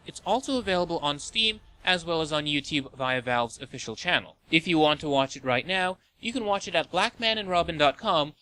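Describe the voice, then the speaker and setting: A man's voice: on the high side, bright, forceful tone, low-quality recording. A man reading a scripted review narration as the host of a countdown list video.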